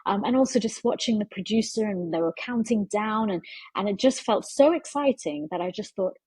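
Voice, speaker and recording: A woman gives interview answers in a husky, slightly breathy voice. She talks in an earnest, measured way and often pauses on fillers. The sound is close and dry, with no room echo. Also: medium pitch, expressive, darkish tone. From London. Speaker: interview guest, media executive.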